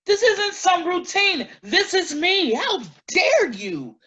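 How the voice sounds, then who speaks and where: A woman's voice: very high-pitched, sing-song, takes it slowly, sharp tone, full character voice. An actress in a staged play reading, playing a touchy, indignant, blunt-spoken woman. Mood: indignant anger. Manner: blunt, confrontational, emphatic.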